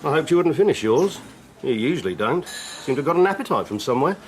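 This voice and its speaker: Jovial, bumbling neighbour, noisy background. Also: male voice, fairly high pitch, very animated, fast talker. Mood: bumbling, jolly. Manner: chummy, bumbling, chuckling chatter. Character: stout, jovial, naive party-loyal neighbour and proud father. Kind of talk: radio drama acting.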